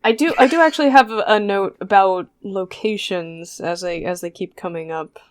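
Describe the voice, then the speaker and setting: A young woman co-hosting a relaxed podcast conversation. Her voice sits at an ordinary middle pitch, and she talks in a chatty, curious way, wandering through her thoughts with frequent ums and small restarts. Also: moderate pace. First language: not Japanese. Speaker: podcast co-host. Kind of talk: casual podcast chat.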